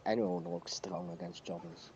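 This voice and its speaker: A man's voice: deep voice, huge pitch swings, normal speed, a little mellow. A man co-hosting a casual wrestling review podcast, talking emphatically and swearing now and then. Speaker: podcast co-host. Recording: dull lo-fi mic, clear background noise.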